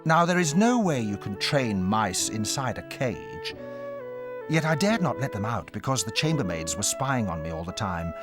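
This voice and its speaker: An expressive storyteller recalling boyhood adventures in a dramatized audiobook. His mid-pitched voice moves in lively contours, with faint music behind. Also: moderate pace. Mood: measured storytelling. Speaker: narrator.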